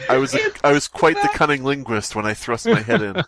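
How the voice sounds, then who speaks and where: A man's voice: medium pitch, sing-song, a little fast, creaky, a bit bright, a little hiss or hum. A man hosting a podcast, reading a story aloud theatrically and breaking off into jokey, sweary banter. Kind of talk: dramatic story reading mixed with joking banter.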